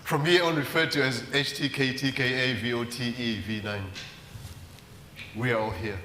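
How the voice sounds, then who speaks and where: Slow, bright-voiced man reciting poetry. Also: medium pitch. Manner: deliberate, rhythmic, wordplay-driven delivery with dramatic emphasis. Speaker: poet. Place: large reverberant hall at a live event. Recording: echoey hall, faint background noise.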